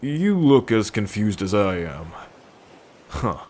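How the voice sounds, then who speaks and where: A man acts out a wary merman in an intimate ASMR roleplay. His voice sits on the low side and he speaks very slowly. He is curious and hesitant, questioning the human he addresses. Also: very animated, a little mellow, heavily acted. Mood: puzzled, suspicious. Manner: slow, hesitant, questioning delivery. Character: wary, curious young merman, gruff rescuer.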